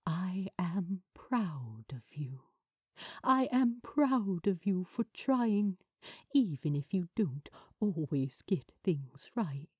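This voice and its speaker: A woman plays a kind man who hosts children's television, gentle and earnest. The voice is husky and breathy, taking its time over each tender phrase. The sound is narrow and phone-like, like an audiobook heard down a line. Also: on the low side, very animated, slow, dry recording, pitched below the performer's own voice, full character voice. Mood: tender, emotional. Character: gentle, kind male children's TV host saying a tearful farewell. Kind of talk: audiobook character acting.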